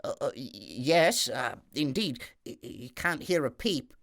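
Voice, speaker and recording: A man's voice: medium pitch, very animated, a little slow, gravelly, fairly bright tone, exaggerated voice. A man voicing a nervous kitchen boy who stammers and hesitates, in a fairy tale.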